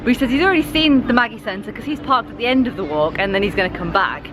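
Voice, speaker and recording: A woman's voice: on the high side, lively intonation, fast talker, noisy background. Chatty english woman vlogging. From England. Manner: chatty, upbeat, laughs often. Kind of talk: casual vlog chat to camera. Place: walking outdoors in a city, later at home.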